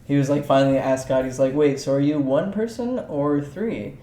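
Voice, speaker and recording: A man's voice: medium pitch, normal speed, warm tone. A man co-hosting a casual chat, retelling stories irreverently with mock quotes and casual swearing. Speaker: co-host. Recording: roomy echo, faint background noise.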